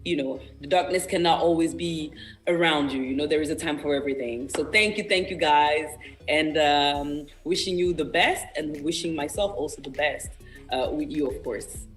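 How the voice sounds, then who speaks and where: A man's voice: fairly high pitch, normal speed, fairly bright tone. A young man whose first language is French chats as a guest on a radio show. He speaks in an earnest, encouraging way, with music faint in the background. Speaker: independent singer-songwriter, interview guest. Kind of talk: casual radio interview talk.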